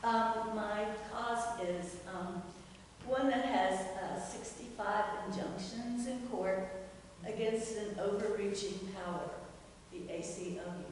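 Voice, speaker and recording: A woman making an informal spoken announcement in a halting, hesitant way. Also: mid-range voice. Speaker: participant presenting a cause. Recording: very echoey hall, far from mic, loud noise.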